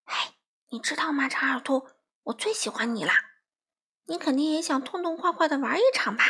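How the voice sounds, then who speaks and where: Female reader as young male bird, storybook character, high-pitched, animated, bossy, boastful, quick to squabble. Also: breathy edge. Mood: coaxing. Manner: indignant, squabbling, shouting.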